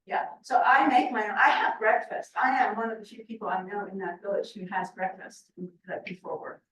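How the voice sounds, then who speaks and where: An older woman answering questions on a video call in a chatty way, laughing often and trailing off softly. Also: medium pitch, brisk, lo-fi sound. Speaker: presenter. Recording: echoey room from far off, faint noise.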